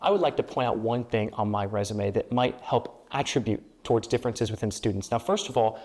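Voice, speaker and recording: A young man whose first language is Spanish and who grew up in Peru and the Dominican Republic. He is a student government candidate giving a speechlike campaign pitch in an interview, building repeated phrases into rhetorical lists. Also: brisk, a little mellow. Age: young adult.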